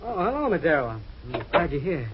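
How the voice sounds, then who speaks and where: An actor playing a confused amnesiac husband in a 1940s radio drama, stammering and anxious. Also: male voice, fairly high pitch, sing-song, a little fast. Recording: dull old radio broadcast with faint hiss.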